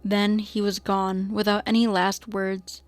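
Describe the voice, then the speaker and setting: A woman's voice: mid-range voice, flat delivery, a little slow, background noise. A woman reading scripted story narration for an audio drama.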